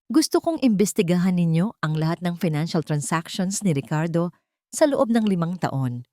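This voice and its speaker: A woman's voice: lowish voice, normal speed, dry recording. Woman playing a cold, commanding betrayed wife. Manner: calm, authoritative, controlled.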